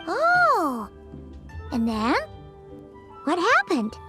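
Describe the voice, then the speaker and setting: A woman voicing a small, excitable, childlike fairy companion in a video game, inquisitive and exclamatory. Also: very high voice, drawn-out words, soft background music, full character voice. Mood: curious. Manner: exclamatory, inquisitive, speaks of self in third person.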